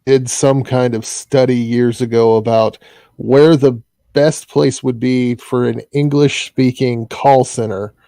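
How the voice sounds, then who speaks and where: A man's voice: mid-range voice, fairly even intonation, dark tone, no room sound. A man with an Iowa accent, an interview guest chatting casually, with frequent restarts. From Iowa, US Midwest. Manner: halting, restarts often. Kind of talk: casual chat.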